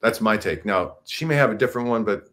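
Deep bass-voiced man, a musician. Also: expressive, fast, fairly bright tone. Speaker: musician, singer, interview guest. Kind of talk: casual explanatory interview chat. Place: indoor room, video call.

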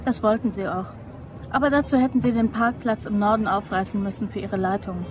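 A woman's voice: mid-range voice, steady tone, fairly quick, natural voice. An actress playing a guarded, teasing young office woman in a German crime radio play. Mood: matter-of-fact, coy.

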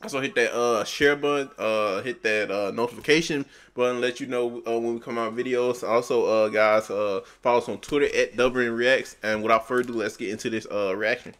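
A man's voice: mid-range voice, steady tone, a little fast, few pauses, a bit bright, smooth. A young man hosting a reaction video in casual, slangy, easily amazed chat, recorded in a small room. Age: young adult. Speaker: host of a reaction channel.

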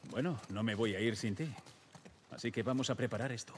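An actor playing a weary, guarded middle-aged survivor in a Spanish video game dub, with a Castilian accent and a restrained delivery. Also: male voice, mid-range voice, fairly quick, a little hiss or hum, natural voice. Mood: subdued. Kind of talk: dubbed video game dialogue.

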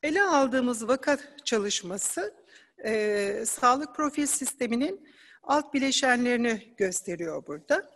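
A woman giving an academic conference presentation, explaining slides in a measured way with occasional hesitant fillers. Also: on the high side, animated, a bit bright, in a large hall.

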